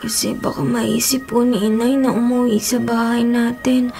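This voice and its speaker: A woman's voice: on the high side, flat delivery, normal speed, creaky, mellow, soft background music. A woman playing a frail, plaintive sick young boy devoted to his parents in a Filipino radio drama. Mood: weak, longing.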